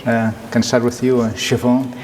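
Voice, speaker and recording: Male interviewer in a reverberant church; halting, friendly questions. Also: mid-range voice, flat delivery, a little mellow. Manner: hesitant, friendly questions with fillers. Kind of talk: church community video interview. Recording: long reverb, faint noise.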